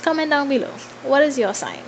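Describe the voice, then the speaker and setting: A woman's voice: high-pitched, very animated, fairly quick, slight background noise, rough recording. A woman in her twenties with a Trinidadian accent chats informally and playfully to followers in a casual Q&A, thinking aloud as she goes. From Trinidad and Tobago. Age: 20s. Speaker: vlogger.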